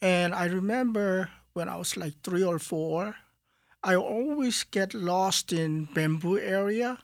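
A man from Korea with a Korean accent, a guest artist in a radio studio interview, speaking calmly and haltingly as he searches for words. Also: sits high, no echo. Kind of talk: calm interview talk.

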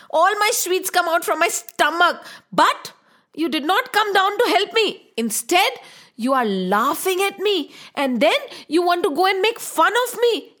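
A proud, big-bellied young elephant god from a children's tale, voiced by a woman. The voice is high and lively, with emphatic, moralizing delivery and a touchy temper. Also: unhurried, heavily acted. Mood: upset, scolding. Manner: emphatic, moralizing, rhetorical questions.

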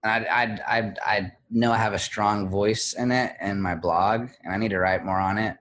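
A man speaking as an interview guest, candid and reflective, pausing often with fillers and restarts. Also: fairly low pitch, fairly even intonation, fairly bright tone, a little hiss or hum. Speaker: guest, blogger.

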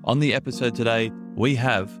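A man hosting a podcast, reading a scripted introduction in a smooth delivery. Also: medium pitch, fairly even intonation, brisk, a bit bright. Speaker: podcast host. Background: guitar music.

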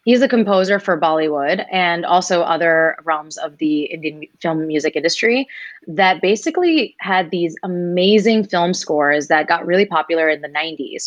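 A woman in her twenties shares her story as an interview guest, her voice sitting a little low. She's animated and slips into quoted voices for other people. The sound is dry and close, with no room around it. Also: no room sound. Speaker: singer guest.

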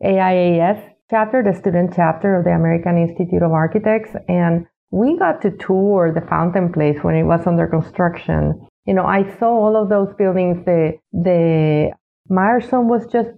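An older woman from Puerto Rico tells her story as a guest in an unhurried way, often stopping for a "you know." Her voice is low with a gravelly edge. It comes through a thin, phone-like line. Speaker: architect and executive director, guest. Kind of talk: interview answers, reflective storytelling.

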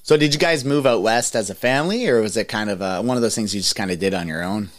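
A man's voice: fairly low pitch, fast, no echo, studio quality. A man in his thirties hosting a podcast, talking to listeners and guests. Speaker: podcast host. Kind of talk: podcast talk and interview.

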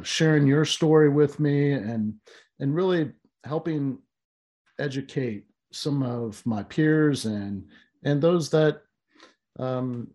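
Hesitant male podcast host, mellow tone. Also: medium pitch. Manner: hesitant, many fillers. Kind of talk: podcast interview.

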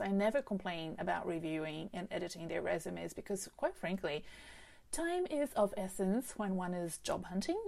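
A woman with an accent, English being her second language, hosts a solo podcast on careers. Her voice sits low and carries a gravelly fry. She talks in a chatty way, filling her pauses with ums and circling back to restart her sentences. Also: a little mellow. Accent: non-native English. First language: not English. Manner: chatty, many fillers and restarts. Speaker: career coach podcast host. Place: home setup with a desk microphone.

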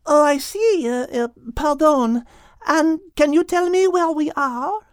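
A woman's voice: high-pitched, slow pace, dry recording, studio quality. A woman voicing a polite, curious little animal, with a hesitant manner.

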